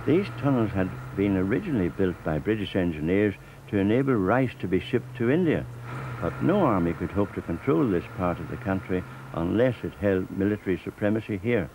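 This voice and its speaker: A man's voice: low-pitched, expressive, brisk, darkish tone, a little hiss or hum, rough recording. Older Northern Irish man narrating. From Northern Ireland. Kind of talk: scripted documentary narration.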